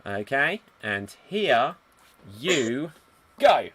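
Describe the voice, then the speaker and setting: Bubbly, lively male improv host. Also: mid-range voice, drawn-out words, breathy edge. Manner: bubbly, laughs often, teasing. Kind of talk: hosting a live-streamed improv game.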